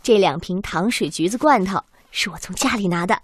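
An actress playing a caring, teasing young woman researcher in a Chinese radio drama, expressive and affectionate. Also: mid-range voice, a little fast, husky, no echo. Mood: warm, caring. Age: young adult.

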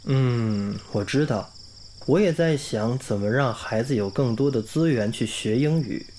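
A man's voice: mid-range voice, unhurried, warm tone, slight background noise, lightly acted. An actor playing a kindly, earnest young male English teacher in a radio drama, with an encouraging manner. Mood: thoughtful. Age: young adult. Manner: clear, encouraging classroom delivery. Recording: music under the dialogue.

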